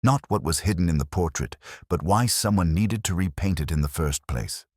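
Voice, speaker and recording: Man playing very deep-voiced, patient police inspector. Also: darkish tone, breathy edge, no echo, clean studio sound. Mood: measured, firm. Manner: measured, understated, deliberate. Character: patient, shrewd, quietly firm male police inspector.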